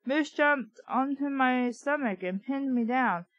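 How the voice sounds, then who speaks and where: A woman's voice: high-pitched, a little slow, no echo, dull audio. A woman reading a story aloud, breaking in with blunt, sarcastic, casual asides. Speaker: audiobook reader and commentator.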